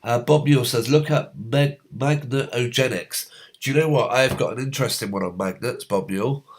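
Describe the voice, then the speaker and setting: A man hosting a live stream, reading out viewers' comments in a halting, rambling way, his voice going hoarse as a cold comes on. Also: medium pitch. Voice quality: slightly hoarse. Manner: rambling, halting, many fillers.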